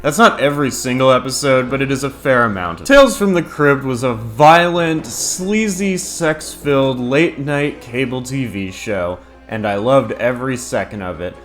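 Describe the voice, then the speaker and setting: Animated man narrating a review. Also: medium pitch, normal speed, hardly any pauses, soft background music. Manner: crude, profane, opinionated. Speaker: video host and reviewer. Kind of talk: scripted countdown review narration.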